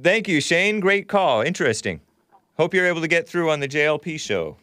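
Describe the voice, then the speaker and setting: A man's voice: a little high, big pitch swings, brisk, fairly bright tone, dry recording. Male talk-show host, dry studio sound. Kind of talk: casual talk-radio chat. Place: small studio. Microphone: broadcast microphone on boom arm, close.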